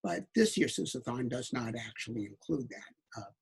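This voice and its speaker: A man hosting an online children's book event, introducing it in formal, measured phrases with some hesitation. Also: medium pitch, big pitch swings, gravelly, breathy edge. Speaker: event host.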